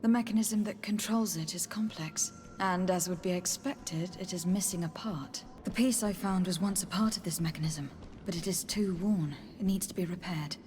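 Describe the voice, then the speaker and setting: A woman's voice: on the low side, normal speed, a little mellow, lightly acted. An actress playing a composed, inquisitive noblewoman envoy in a fantasy game, speaking formally and earnestly. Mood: grave, intent. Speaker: voice actor in a fantasy video game. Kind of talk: game dialogue.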